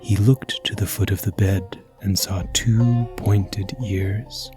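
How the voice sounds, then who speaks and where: A man reading a scripted ghost story as narrator, dramatic and at times hushed. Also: on the low side, fairly even intonation, takes it slowly, over music.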